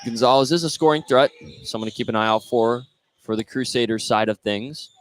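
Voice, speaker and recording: A man calling live play-by-play of a high school basketball game for radio. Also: a little fast, slight background noise. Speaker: play-by-play commentator. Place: courtside at an indoor basketball game.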